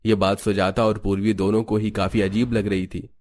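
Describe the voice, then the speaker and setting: A man narrating a cartoon family story in a plain, even storytelling voice. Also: on the low side, normal speed, slight background noise.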